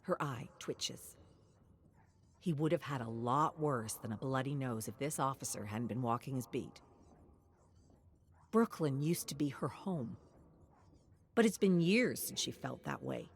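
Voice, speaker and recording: A woman with a low voice narrates a dramatic story from a script. Faint ambient noise sits behind her narration. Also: big pitch swings, measured pace, pauses often.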